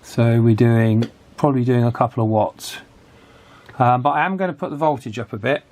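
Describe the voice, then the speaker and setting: A man giving a casual hands-on repair commentary, thinking aloud with pauses mid-phrase. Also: mid-range voice, a little mellow. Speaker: electronics repair vlogger.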